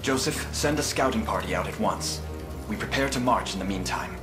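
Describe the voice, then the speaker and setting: A man's voice: fairly low pitch, lively intonation, brisk, over music, natural voice. A man playing a just, composed prince in a video game, speaking formally and decisively. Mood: calm, resolute. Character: young noble prince, calm, just army leader. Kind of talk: video game cutscene voice acting.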